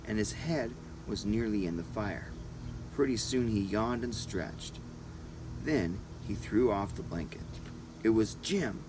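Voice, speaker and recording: A man narrating a first-person adventure story as an audiobook reader, in short deliberate phrases. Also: on the low side, background noise.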